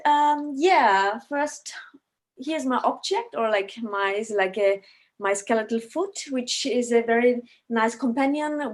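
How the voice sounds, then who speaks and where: A middle-aged woman with an Austrian accent introducing herself informally on a video call, hesitating as she searches for English words. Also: fairly high pitch. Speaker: Pilates trainer and association vice president. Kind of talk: informal self-introduction at an online meeting.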